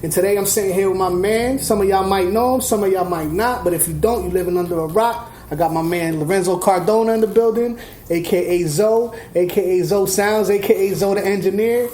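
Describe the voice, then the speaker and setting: A man's voice: high-pitched, a little fast, a little mellow. A man in his forties hosting a casual podcast interview, slangy and laid-back. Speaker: podcast host. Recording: echoey room with faint noise.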